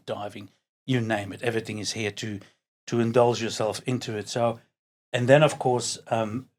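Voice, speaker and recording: Belgian-accented older man, chatty and animated. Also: medium pitch. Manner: chatty, repeats words as he searches, laughs easily. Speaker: podcast guest. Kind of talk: casual interview chat.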